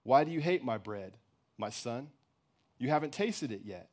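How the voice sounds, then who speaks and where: A patient, wise mother as a male storyteller plays her, quiet and tender. She speaks calmly and without hurry, with a sorrowful gentleness suited to a storybook parable. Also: mid-range voice, natural voice. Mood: sad, tender. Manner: tender, quiet, calm, unhurried.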